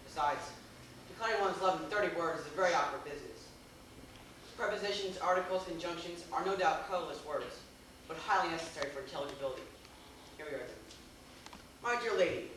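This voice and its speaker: A man's voice: high-pitched, lightly acted. A man playing a fussy, deferential tutor in an amateur stage play, speaking in a formal, declamatory way. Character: fussy, deferential male tutor to a young master. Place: theater stage, recorded from afar. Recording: echoey noisy theater, distant microphone.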